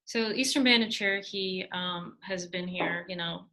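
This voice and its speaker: A woman presenting in a casual panel talk, explaining things in an even way. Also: on the low side, moderate pace. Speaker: student presenter.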